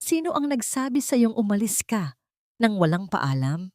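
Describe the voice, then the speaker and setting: A woman's voice: medium pitch, sing-song, normal speed, darkish tone, voice pushed up, close to an everyday voice. A woman puts on a billionaire man from a romantic audio drama, possessive and used to command, with worry underneath. The accent is Filipino. The sound is dry, without echo. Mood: angry, worried. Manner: stern, urgent.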